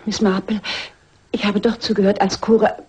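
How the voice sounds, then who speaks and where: Husky, timid, anxious woman. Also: mid-range voice, level tone, moderate pace, warm tone. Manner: hesitant, apologetic, polite. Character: timid, anxious female companion. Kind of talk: German radio crime drama acting.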